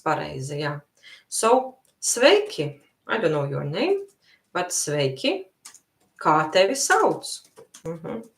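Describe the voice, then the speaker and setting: A woman with a Latvian accent teaching a live language lesson, slowly repeating phrases for her learners. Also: deep voice, roomy sound.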